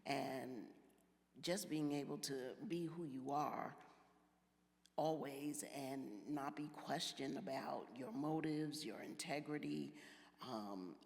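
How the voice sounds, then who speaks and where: Very deep, gravelly, slow-speaking woman. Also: a little mellow. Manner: deliberate, measured, sincere. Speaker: discussion participant. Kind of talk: spontaneous reflective remarks. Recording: echoey hall.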